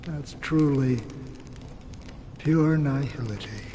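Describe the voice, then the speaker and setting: Man playing a very slow, grave wanderer. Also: medium pitch, warm tone, in a large hall, slight background noise, lightly acted. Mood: brooding, musing. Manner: deliberate, drawn-out, brooding phrasing with pauses. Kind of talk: video game voice acting.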